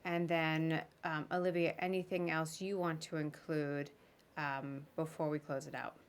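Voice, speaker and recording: A woman with a somewhat low-pitched voice hosts a live podcast interview. Her delivery is casual and halting, with a self-deprecating streak as she moves between questions. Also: flat delivery. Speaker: podcast host interviewing a guest.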